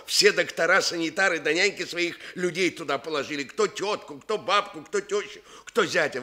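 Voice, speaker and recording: Soviet radio drama: fawning, scheming male director, boastful and wheedling, very animated pitch. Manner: obsequious, wheedling, wordy and excitable. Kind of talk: Soviet radio stage-play acting.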